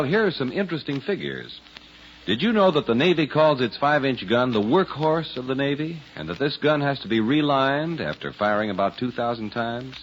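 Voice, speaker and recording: A man's voice: medium pitch, fairly bright tone, a little hiss or hum. A man announcing on 1940s radio, reading scripted narration and sponsor copy in an emphatic, polished delivery. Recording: muffled vintage radio recording.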